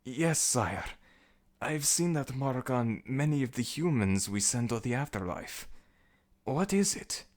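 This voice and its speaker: Man playing slow-spoken, deferential underworld servant. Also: mid-range voice, animated, lightly acted. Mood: curious, uneasy. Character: deferential, doubtful male assistant embalmer to death god. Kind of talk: ASMR audio roleplay acting.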